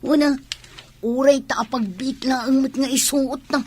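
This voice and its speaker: A woman's voice: sits high, fairly even intonation, normal speed, a little mellow, slightly rough. A woman playing a tender, indulgent young partner in a radio drama. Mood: tender, coaxing. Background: music.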